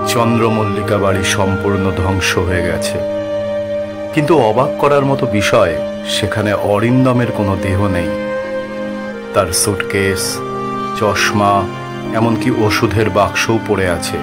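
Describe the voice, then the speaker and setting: Low-pitched man narrating suspense over music. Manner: dramatic, suspenseful, with deliberate pauses. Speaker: storyteller. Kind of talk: scripted horror story narration.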